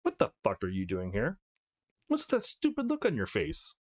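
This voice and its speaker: A man's voice: mid-range voice, wildly swooping pitch, moderate pace. A man voicing a sour, spiteful young woman from a visual novel who bullies with snide, cutting scorn. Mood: hostile, scornful.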